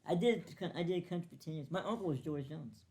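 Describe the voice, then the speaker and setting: A man who grew up in Los Angeles, a comedian guest talking in a quick, offhand and blunt way. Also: on the high side, expressive, darkish tone. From Los Angeles, raised there. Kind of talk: casual chat.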